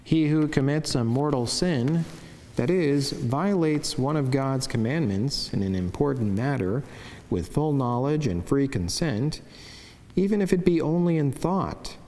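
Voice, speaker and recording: A man with a medium-pitched voice reads a religious devotion from a script. His delivery is solemn, formal and measured. Every phrase carries a long, church-like reverberant tail. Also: lively intonation, a little mellow, a little hiss or hum. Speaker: religious narrator reading a saint's life and devotional meditation.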